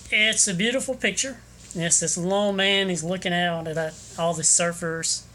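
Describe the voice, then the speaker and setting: A man gives a rambling, hesitant sales pitch into his phone camera, with many ums and restarts, in a room with an overhead fan running. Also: fairly even intonation, moderate pace, sharp tone, a little hiss or hum. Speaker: local photographer selling prints.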